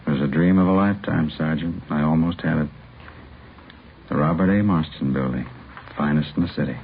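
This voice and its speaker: An actor playing a resigned, obsessed doctor who confesses in a halting, brooding way, in 1950s radio drama style. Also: male voice, extremely low pitch, slightly rough, full character voice. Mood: wistful pleading. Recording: muffled, hissy old radio recording.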